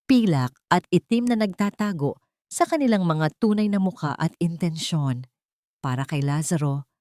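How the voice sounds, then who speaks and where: A woman's voice: fairly low pitch, a little mellow, dry recording, clean studio sound. A woman reading a scripted, dramatic love story as a storyteller.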